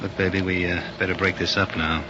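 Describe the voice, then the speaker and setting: An actor playing a smooth, ruthless gangster in a 1940s radio crime drama, scheming with his lover. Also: low voice, level tone, a little fast, natural voice. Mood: confident, scheming. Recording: noisy, muffled old 1940s radio recording.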